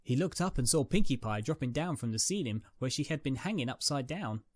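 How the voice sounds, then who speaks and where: A man's voice: medium pitch, expressive, fairly quick, dry recording. A man reading a dramatic story as a scripted narrator. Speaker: narrator, reader. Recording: music behind the voice. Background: background music.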